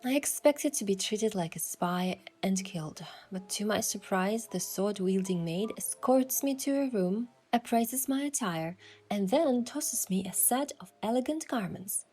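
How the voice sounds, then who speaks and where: A woman's voice: mid-range voice, lively intonation, a little slow, mellow, slightly rough, faint music behind. A woman giving scripted first-person narration of an adventure story as its protagonist.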